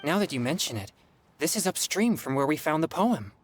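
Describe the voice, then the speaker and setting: An actress voicing a calm, considerate, friendly young adventurer in a video game, speaking in a straightforward way. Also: female voice, low-pitched, fairly quick, background noise. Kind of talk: video game cutscene acting.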